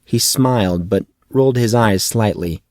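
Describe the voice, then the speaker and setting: A man's voice: on the low side, measured pace, darkish tone, no echo, clean studio sound. A man reading fiction aloud as a scripted audiobook narrator.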